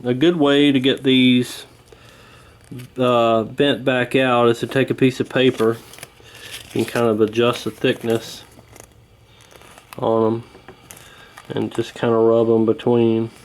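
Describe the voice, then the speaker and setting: A man giving a casual hands-on repair walkthrough, talking in an unhurried, folksy way while he works. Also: medium pitch, flat delivery, slight background noise. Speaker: host of a radio repair channel.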